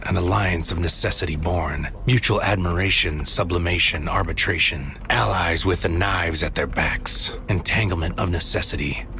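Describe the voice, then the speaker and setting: A man acting a brooding, fatalistic narrator, solemn and deliberate, in a poetic audio drama monologue over music. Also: deep voice. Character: brooding, fatalistic man narrating his bleak inner world.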